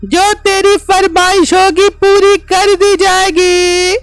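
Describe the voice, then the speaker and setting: A woman acts out a fierce cartoon witch queen with an extremely high, creaky voice. She commands and scolds with slow, deliberate menace. Music plays clearly behind her. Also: flat delivery, no room sound, full character voice. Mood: demanding. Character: fierce, bossy witch queen hungry for human flesh. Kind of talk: Urdu cartoon fairy tale dubbing.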